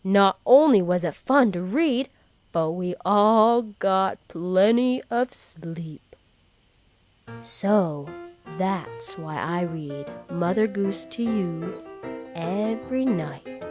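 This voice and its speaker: A woman reads a children's picture book aloud in an expressive storybook manner. She takes her time over every word, and her fairly high voice swoops up and down a lot. Soft music plays underneath. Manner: expressive, unhurried. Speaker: storybook reader.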